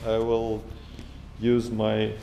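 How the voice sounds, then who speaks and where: A man in his thirties with a Russian accent, talking through his workshop in halting English full of fillers and restarts, recorded on an action camera indoors. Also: on the low side, completely flat delivery, vocal fry, background noise. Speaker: maker vlogger. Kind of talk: casual workshop vlog. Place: home workshop room, indoors. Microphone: action camera held or mounted close.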